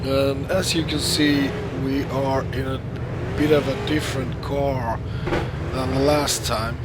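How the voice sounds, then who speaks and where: Very slow-talking hoarse man. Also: mid-range voice. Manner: halting, many pauses and restarts. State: hoarse from flu. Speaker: sim racing gamer. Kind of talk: gameplay commentary. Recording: loud steady background noise.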